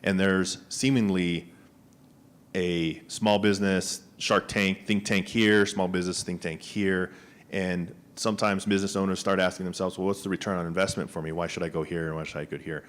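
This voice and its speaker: A man's voice: low-pitched, little pitch movement, normal speed. A man, a small business agency official, giving conversational panel remarks with frequent fillers and restarts. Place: conference room panel.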